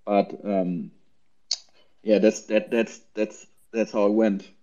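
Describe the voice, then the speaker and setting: A man explaining things casually as a panel guest, haltingly and often repeating words, over lo-fi voice chat audio. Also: on the low side, little pitch movement, warm tone.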